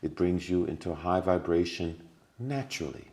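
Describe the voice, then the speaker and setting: A man's voice: low voice, lively intonation, normal speed, a little mellow. A man speaking as a podcast guest, giving earnest spiritual advice and repeating key words for emphasis.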